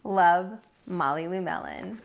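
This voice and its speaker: A woman reading aloud a young schoolgirl's letter to her grandmother, slow and earnest. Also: on the low side, huge pitch swings, creaky, pitched below the performer's own voice, full character voice.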